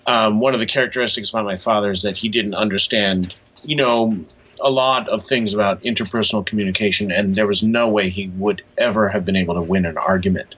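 A man with a fairly low, husky voice gives reflective interview answers. He picks his words hesitantly and thoughtfully, and the sound is a little dull and lo-fi. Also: steady tone, brisk, fairly bright tone. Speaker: interviewed author.

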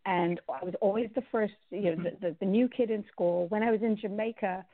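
A woman's voice: medium pitch, fairly even intonation, a little fast, no echo. A woman being interviewed as an author guest, talking chattily with many restarts and fillers. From born in Guyana, raised in England and the Caribbean. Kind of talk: conversational interview answers. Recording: tinny phone line, dry.

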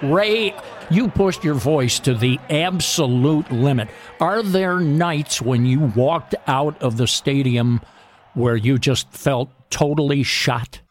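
A man hosting a sports radio show in a measured announcer's manner, moving between chat and scripted introductions. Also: medium pitch, slight background noise.

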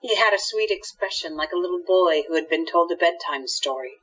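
A husky woman narrates a radio play from a script. Her voice sits a little low, and the recording is slightly muffled. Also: brisk, fairly bright tone. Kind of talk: scripted audio drama narration.

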